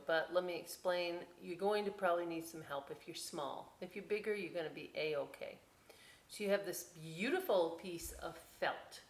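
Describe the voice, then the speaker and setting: A woman hosting a storytime for young children, reading expressively with drawn-out words and chatty, encouraging asides. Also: fairly low pitch. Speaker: children's library storytime host.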